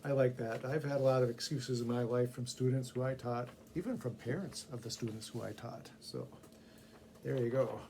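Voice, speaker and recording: A man's voice: mid-range voice, moderate pace, warm tone. A middle-aged man reading short humorous stories aloud, with casual asides to the listeners. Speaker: story reader. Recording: faint background noise.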